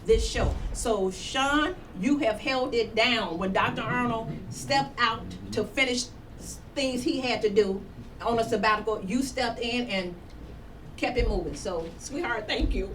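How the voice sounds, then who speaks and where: Woman producer, casual and talkative, light higher voice, faint noise. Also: a little slow, a bit bright. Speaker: executive producer. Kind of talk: casual radio talk.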